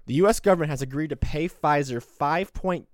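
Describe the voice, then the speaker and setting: A man's voice: on the high side, brisk, dry recording, studio quality. A man in his twenties hosting a solo podcast, talking in a sarcastic, combative, rambling way. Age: 20s. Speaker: podcast host. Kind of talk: opinionated solo commentary.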